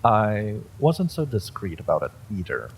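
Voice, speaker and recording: A man's voice: fairly low pitch, wildly swooping pitch, a little slow, vocal fry, breathy edge, low-quality recording. A man reading a first-person story aloud as a dramatic narrator. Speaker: story reader.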